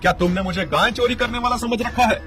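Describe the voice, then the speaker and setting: A man's voice: very high-pitched, quick pace, fairly bright tone. A man playing a greedy, scheming dairy merchant in a children's cartoon story, scornful and blustering. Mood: angry. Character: greedy, haughty, scheming village dairy merchant, short-tempered. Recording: music under the voice.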